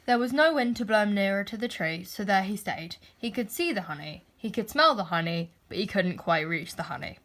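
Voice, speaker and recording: A woman's voice: medium pitch, animated, brisk, few pauses, fairly bright tone. A woman narrating a children's storybook as its reader.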